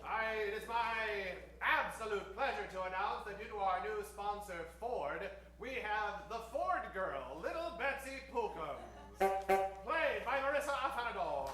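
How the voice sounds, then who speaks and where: A man's voice: high-pitched, animated, unhurried, fairly bright tone. A man narrating an improvised old-time radio show as a theatrical announcer. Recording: echoey stage, distant mic, music behind.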